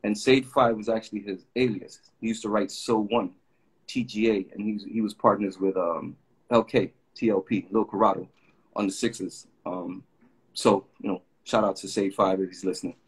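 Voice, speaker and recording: A man's voice: lowish voice, fairly even intonation, moderate pace, breathy edge, poor audio. A middle-aged man from Puerto Rico, chatting on a video call and telling long rambling stories full of fillers. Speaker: graffiti artist guest. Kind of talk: casual storytelling interview. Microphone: video call webcam.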